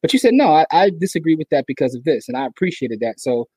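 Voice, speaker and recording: A man's voice: fairly high pitch, very animated, fast, darkish tone, breathy edge, dry recording. A man hosting a podcast interview, chatty and casual, filling his talk with "like" and "you know". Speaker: podcast host.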